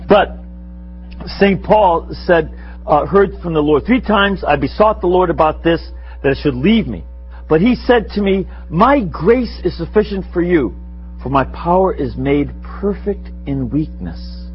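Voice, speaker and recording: Male speaker, 60s, rather high voice, conversational and self-deprecating, sounds like a dull room recording. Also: animated, slow pace, soft background music. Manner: conversational, rambling, self-deprecating, chuckles often. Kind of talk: informal spiritual teaching with audience questions. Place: meeting room with a live audience.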